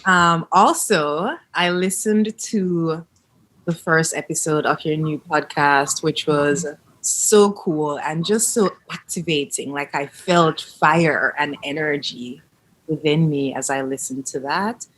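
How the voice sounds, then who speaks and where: A woman hosting a podcast, asking questions in an enthusiastic, warm manner. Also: deep voice, slow, low-quality recording. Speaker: podcast host, birth coach. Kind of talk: casual interview.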